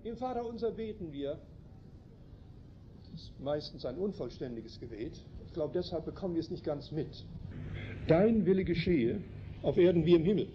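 High, lively preacher in a chapel. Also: male voice. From Canada. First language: German. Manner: slow, emphatic, explains Bible verses. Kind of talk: sermon. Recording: dull lo-fi recording, faint noise.

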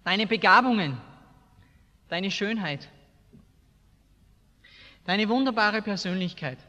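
A man's voice: high-pitched, lively intonation, slow, pushed and bright. A man with an Austrian accent preaching a colloquial sermon in a blunt, emphatic way, asking rhetorical questions. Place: large hall, preaching to a seated congregation. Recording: echoey hall with long reverb.

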